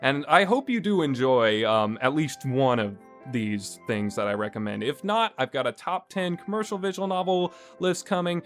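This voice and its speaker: A man's voice: medium pitch, expressive, normal speed, few pauses, fairly bright tone, soft background music. A man hosting a casual review podcast, talking in a rambling way with frequent fillers. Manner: rambling, many "uh" and "you know" fillers. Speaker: podcast host reviewing games.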